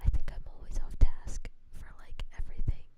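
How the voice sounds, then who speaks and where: A woman VTuber streamer whispering through a relaxed ASMR chat, rambling and joking about herself, on a standard non-binaural mic. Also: a little fast. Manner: rambling, self-mocking, giggly.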